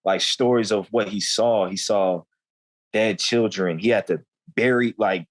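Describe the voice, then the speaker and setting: A man's voice: on the low side, unhurried. A young man in his twenties, a guest telling candid stories in loose, slangy conversation, often saying "you know what I'm saying.". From United States.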